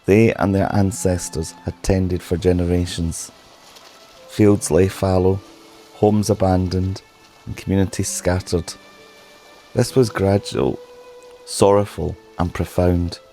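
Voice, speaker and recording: A man narrates a documentary in a slow, solemn, measured way, his voice hoarse from a sore throat. It sits very low and sounds mellow. Faint music plays underneath. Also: poor audio. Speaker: history channel host.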